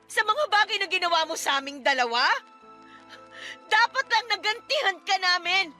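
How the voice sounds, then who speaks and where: A woman's voice: very high voice, expressive, moderate pace, pushed and bright, heavily acted. A woman playing a defiant, scornful young housemaid bent on revenge in an emotionally charged radio drama. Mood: furious. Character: bitter, vengeful young housemaid confronting her abusive stepfather. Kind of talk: Tagalog radio drama acting. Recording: music bed under the voice.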